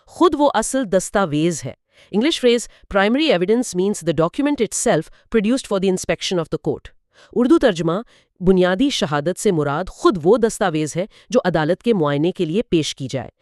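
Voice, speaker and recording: A woman's voice: medium pitch, darkish tone, dry recording, clean studio sound. A woman, explaining legal points step by step with examples, as co-host of an educational dialogue.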